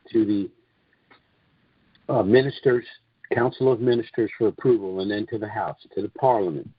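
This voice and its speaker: Guy with a lowish husky voice talking on a conference call, dull dry lo-fi sound, sure of his opinions. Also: dry recording. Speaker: conference call host and speaker. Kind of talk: informal monologue commentary on a conference call. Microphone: conference call line.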